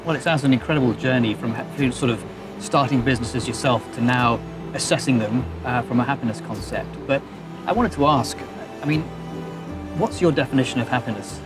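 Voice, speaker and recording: A man's voice: medium pitch, fairly quick, over music. A man interviewing a guest, asking conversational follow-up questions. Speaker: interviewer, board member.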